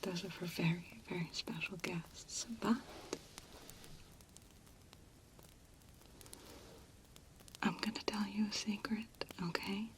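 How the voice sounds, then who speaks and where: A woman playing a friendly, confiding medieval fantasy tavern server in a hushed, gentle ASMR role play. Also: medium pitch, steady tone, moderate pace, slight background noise, natural voice, low-quality recording. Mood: secretive.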